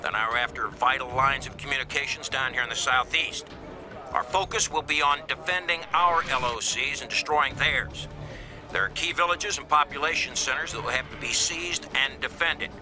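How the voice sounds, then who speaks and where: A man's voice: mid-range voice, over music, lightly acted. A man playing a confident, authoritative military commander, delivering a scripted briefing in a video game. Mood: firm, resolute. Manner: brisk, authoritative, hard-edged.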